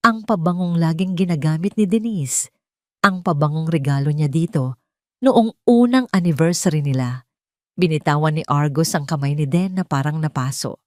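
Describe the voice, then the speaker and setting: A woman's voice: sits low, moderate pace, warm tone, dry recording, studio quality. A woman with a Filipino accent reading a dramatic scripted story as an audio drama narrator.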